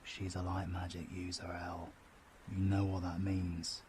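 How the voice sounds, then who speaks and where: A man's voice: low-pitched, monotone, a little slow, noisy background. A British man playing a cocky, sardonic, foul-mouthed but affectionate supervillain in an audio roleplay, with slangy, teasing delivery. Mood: earnest, low-key. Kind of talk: audio roleplay drama acting.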